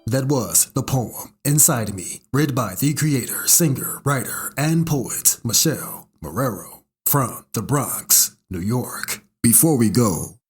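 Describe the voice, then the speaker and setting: A man's voice: mid-range voice, lively intonation, slow pace, few pauses, slightly rough, soft background music. A man hosting a poetry show, delivering scripted motivational messages and announcements. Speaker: host and poet.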